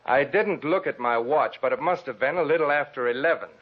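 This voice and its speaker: An actor playing a defensive, bitter murder suspect in an old radio drama, evasive and melodramatic. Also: male voice, mid-range voice, a little fast, close to an everyday voice. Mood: guarded. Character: defensive, bitter husband who murdered his sick wife. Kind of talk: 1940s radio drama acting. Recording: muffled old radio recording.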